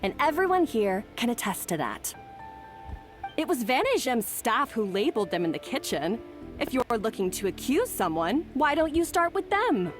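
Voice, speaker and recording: A woman's voice: on the high side, sing-song, moderate pace, soft background music. A woman playing a smug, scheming, haughty rival chef in an anime game, with a condescending, taunting delivery. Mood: smug, defensive.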